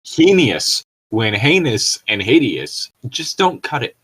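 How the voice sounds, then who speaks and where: Co-host for comic reading: medium pitch, unhurried, sarcastic, happy to swear. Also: sing-song, a bit bright, slightly rough. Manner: sarcastic, profane. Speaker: co-host reading fan fiction aloud. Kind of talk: comedic dramatic reading with joking asides.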